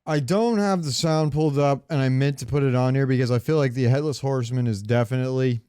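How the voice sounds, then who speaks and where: A man's voice: medium pitch, quick pace, dark tone, clean tone, no room sound, clean studio sound. A man co-hosting a podcast, chatting casually and informally about video games in a rambling way. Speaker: podcast co-host.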